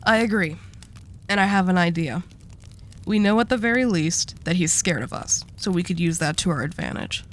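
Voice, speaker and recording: A woman's voice: on the low side, normal speed, slight background noise, lightly acted. A woman acting as a dominant female mafia boss in an intimate audio roleplay, composed, teasing and coolly seductive. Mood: cool, scheming. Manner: teasing, condescending endearments, composed.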